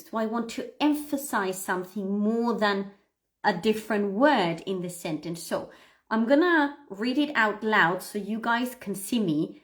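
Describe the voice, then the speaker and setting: A woman hosting a live English pronunciation lesson, explaining things to viewers in an instructive, expressive way with emphasis and frequent checks for understanding. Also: medium pitch. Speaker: English pronunciation teacher, livestream host. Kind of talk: live lesson talking to viewers, demonstrating read-aloud.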